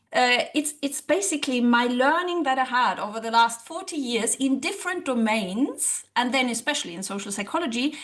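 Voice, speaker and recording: High-voiced woman, earnest and animated. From Germany. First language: German. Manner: earnest, animated, often acts out her own past thoughts. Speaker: guest, social psychologist and language coach. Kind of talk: interview conversation.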